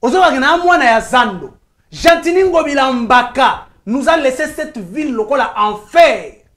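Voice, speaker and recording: A woman's voice: high voice, expressive, moderate pace, fairly bright tone. Insistent Congolese woman arguing. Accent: Congolese French. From Kinshasa, DR Congo. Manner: insistent, argues point by point, mixes in Lingala phrases. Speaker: journalist guest. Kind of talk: heated talk-show debate.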